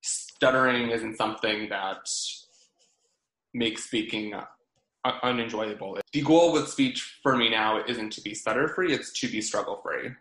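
A young man with a stutter chats on a podcast, catching on sounds and repeating words as he talks. His voice sits a little low, and the room around him gives it a noticeable echo. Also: measured pace, fairly bright tone. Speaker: podcast co-host. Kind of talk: casual chat. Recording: roomy echo.

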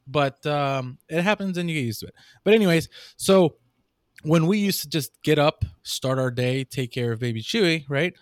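A young man, a new father, chatting casually on a podcast and rambling through stories with sudden lively jumps in his voice. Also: normal speed, dry recording, clean studio sound. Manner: rambling storyteller, animated jumps in pitch. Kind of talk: casual chat.

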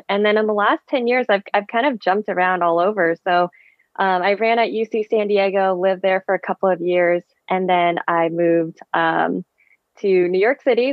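A woman's voice: mid-range voice, no room sound. A woman in her thirties, born in Vietnam and raised in the Bay Area with Vietnamese as her first language, speaking as a friendly podcast guest over a video call. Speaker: guest, physical therapist and running coach. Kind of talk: casual interview chat. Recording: dull video-call audio.

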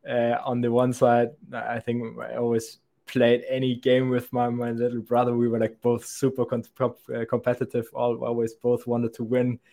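A man's voice: mid-range voice, monotone, moderate pace, vocal fry, hardly any pauses. A man in his twenties, a sales account executive, answering podcast interview questions in a rambling, thoughtful way, often restarting his sentences. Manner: rambling and thoughtful, frequent restarts and soft laughs.

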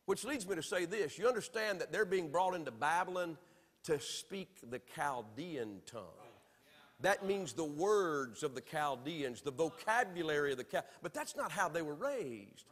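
Southern preacher with a high voice. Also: male voice, very animated, measured pace, long reverb tail. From Alabama. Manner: emphatic preaching with sudden swings between loud and soft. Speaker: pastor. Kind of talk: sermon. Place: church sanctuary.

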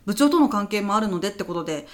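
A woman's voice: medium pitch, animated, very fast, close to an everyday voice. A woman playing a polite, earnest and worried office subordinate in a comedic radio drama, speaking in a businesslike, matter-of-fact way. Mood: courteous.

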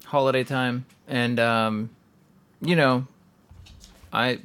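A man hosts a casual podcast, rambling with plenty of fillers and teasing his co-host. His voice sits at an ordinary pitch. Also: fairly even intonation, slow pace, a bit bright, smooth. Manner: chatty, many likes and fillers, teasing.

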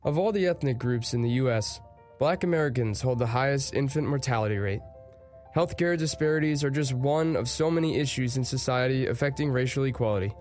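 A man's voice: mid-range voice, brisk, a little mellow. A man reading a scripted announcement as a public service narrator. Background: music.

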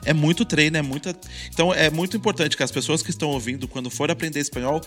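A Brazilian man with a Brazilian Portuguese accent and a fairly high voice talks in a relaxed, reflective way, often restarting his sentences. Faint music plays underneath the conversation. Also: quick pace. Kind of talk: casual chat.

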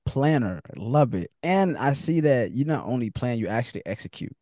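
A man's voice: medium pitch, lively intonation, normal speed, warm tone, no room sound, clean studio sound. A man hosting a podcast, laid-back and slangy, laughing easily as he chats with his guest. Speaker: podcast host. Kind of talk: casual interview chat.

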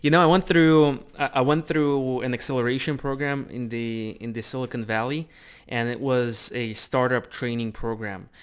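A man's voice: medium pitch, steady tone, smooth. A man hosting an interview, engaged and chatty, sharing his own stories between questions. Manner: engaged, shares own stories, quick affirmations. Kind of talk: casual interview conversation.

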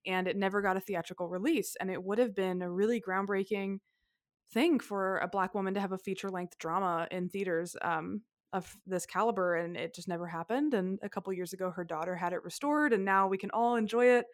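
A woman's voice: mid-range voice, steady tone, brisk, no room sound, clean studio sound. A woman co-hosting a casual film podcast, chatty, laughing often and restarting her sentences. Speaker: podcast co-host.

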